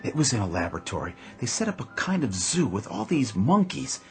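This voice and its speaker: An actor playing a bitter, accused suburban husband who grows desperate, emphatic and sarcastic in a radio drama. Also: male voice, medium pitch, big pitch swings, brisk. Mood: grim, bitter. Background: background music.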